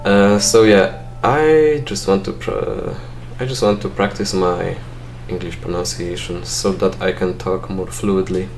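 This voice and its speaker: A man's voice: sits low, fairly even intonation, measured pace, hardly any pauses, soft background music. A young man with a Polish accent, a non-native English speaker, giving dry, self-mocking gameplay commentary and thinking aloud with fillers. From Poland. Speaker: let's play gamer.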